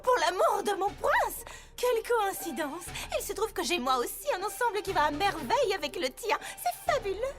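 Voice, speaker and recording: A woman's voice: very high voice, big pitch swings, moderate pace. A woman voicing a vain, elegant female unicorn in a cartoon, gushing in an affected, melodramatic way. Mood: rapturous, dramatic. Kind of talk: cartoon dub acting. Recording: music behind the voice.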